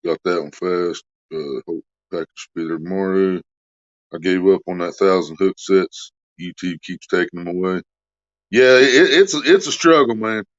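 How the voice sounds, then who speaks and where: Man hosting a livestream, low voice, crude jokey chatter, muffled lo-fi sound. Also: normal speed. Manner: crude joking, reads donations aloud. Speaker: livestream host. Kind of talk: casual livestream chat.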